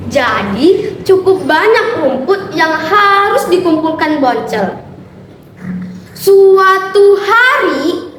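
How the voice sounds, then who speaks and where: A woman telling a folktale as scripted narration, reciting it in a deliberate, expressive way. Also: very high-pitched. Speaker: storytelling contestant. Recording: roomy echo with clear background noise.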